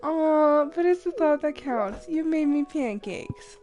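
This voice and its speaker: A woman's voice: high voice, lively intonation, takes it slowly, mellow. A young adult woman streaming a game while congested and a little sick, chatting casually and apologizing for her voice. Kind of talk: casual gameplay commentary. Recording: game music behind voice.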